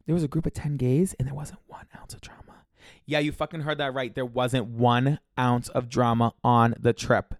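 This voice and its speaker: A man's voice: mid-range voice, little pitch movement, normal speed, no room sound, clean studio sound. A young man hosting his own podcast alone, talking casually in a chatty, profane, gossipy way, his voice a little congested with a scratchy throat. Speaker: podcast host. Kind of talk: casual solo podcast monologue.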